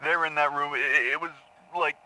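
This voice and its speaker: An actor playing a haunted man in an audio drama, confessing in halting, repetitive phrases to a grim crime. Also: fairly high pitch, steady tone, bright, forceful tone, rough recording. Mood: grim, tense. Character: haunted man confessing to killing his parents in therapy. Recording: taped therapy session sound.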